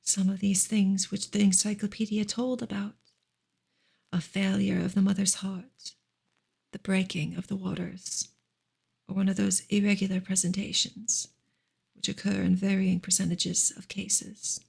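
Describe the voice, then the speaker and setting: Soft, creaky ASMR woman reading. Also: mid-range voice, level tone, unhurried. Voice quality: soft, breathy, partly whispered. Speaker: ASMR reader and channel host.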